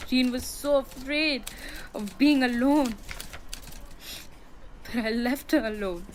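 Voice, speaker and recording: A young woman acting an anguished, stubborn young factory worker in a high school radio drama. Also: slow, a little hiss or hum. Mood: grieving.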